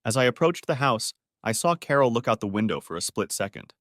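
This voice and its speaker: A male storyteller delivers a scripted first-person narrative. His pitch moves around in a lively way, and the sound is close and dry. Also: brisk, no room sound.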